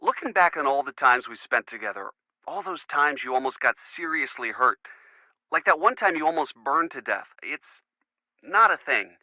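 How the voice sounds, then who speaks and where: A man's voice: medium pitch, expressive, normal speed, bright, forceful tone, dry recording, dull audio. A man playing an earnest, devoted college-age boyfriend in a video game, boyish and a little rambling, as if talking on the phone. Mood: earnest, tender.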